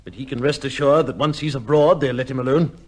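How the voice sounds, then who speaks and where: An actor playing a shrewd, measured gentleman adviser in an old radio drama, speaking formally and firmly. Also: mid-range voice, steady tone, lightly acted. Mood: urgent. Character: cool, shrewd gentleman adviser to an accused judge.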